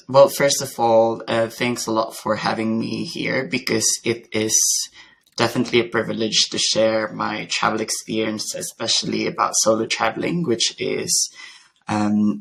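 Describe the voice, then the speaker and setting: A man from the Philippines, a podcast guest, earnestly telling his story in a measured way. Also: fairly low pitch, vocal fry, a bit bright, poor audio. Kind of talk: conversational storytelling.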